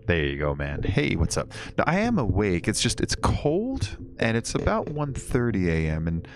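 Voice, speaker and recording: A young man giving relaxed, deliberately quiet commentary while streaming a game. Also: fairly low pitch. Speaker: gaming streamer. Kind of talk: casual let's-play commentary. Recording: game music in background.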